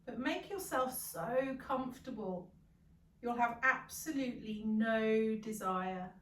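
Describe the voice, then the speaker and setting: A woman leading a guided meditation as a yoga nidra guide, calm, soothing and gentle, leaving long pauses. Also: on the high side. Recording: roomy echo, distant from the mic.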